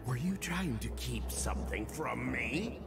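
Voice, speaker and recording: An actor voicing a small, spiteful male villain in a video game, with a gravelly, sneering, theatrical menace. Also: mid-range voice, lively intonation, unhurried. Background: game music.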